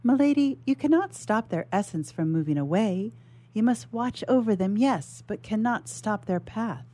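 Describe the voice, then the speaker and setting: A woman's voice: medium pitch, expressive, normal speed, warm tone, natural voice. A woman reading the part of a fussy, exasperated servant who lectures a lady in formal, insistent words. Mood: exasperated, lecturing.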